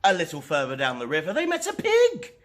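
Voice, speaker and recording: A man hosting storytime, reading aloud to children in an animated storytelling style. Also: sits high, brisk, a little hiss or hum.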